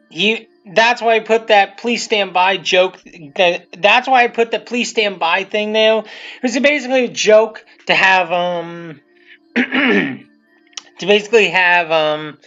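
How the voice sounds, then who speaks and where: A man's voice: high voice, bright, forceful tone. A man giving casual, unscripted commentary as the creator of a video series, rambling and repeating himself. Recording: muffled lo-fi mic, music behind.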